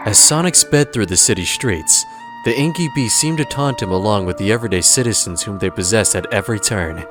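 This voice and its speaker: A man's voice: fairly low pitch, animated, fairly quick, over music. A man narrating an audio drama in a dramatic, scripted storytelling style.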